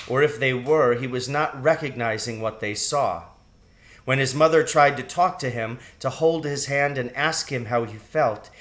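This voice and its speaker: Slow-paced man reading a storybook. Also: mid-range voice, a bit bright. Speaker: audiobook reader. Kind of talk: scripted storybook narration.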